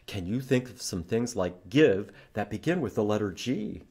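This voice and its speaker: A man's voice: on the low side, animated, moderate pace, darkish tone, husky. A man hosting a children's library storytime, reading and teaching letters in simple, repeated phrases and prompting young listeners to join in.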